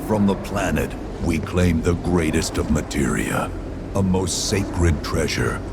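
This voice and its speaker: An actor playing an ancient, sorrowful spirit in a video game, speaking in solemn, archaic, grandiose phrases. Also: male voice, low-pitched, takes it slowly, gravelly, heavy background noise, exaggerated voice. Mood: reverent, mournful. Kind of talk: formal archaic dramatic game acting.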